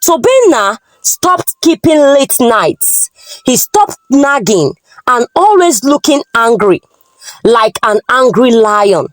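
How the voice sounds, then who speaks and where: A woman's voice: on the high side, very animated, slow pace, no echo, low-quality recording. A woman with a Nigerian accent narrating a folktale in a dramatic storytelling style.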